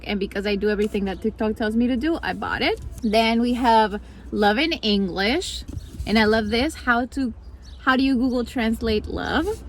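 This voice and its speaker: High-voiced young woman vlogging, noisy recording. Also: fairly bright tone. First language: Spanish. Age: 20s. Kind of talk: casual vlog chat.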